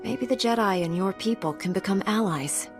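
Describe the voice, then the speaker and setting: A woman's voice: mid-range voice, normal speed, close to an everyday voice. A woman voicing a confident young female knight in a video game, direct and matter-of-fact. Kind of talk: video game character acting. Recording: game music behind voice.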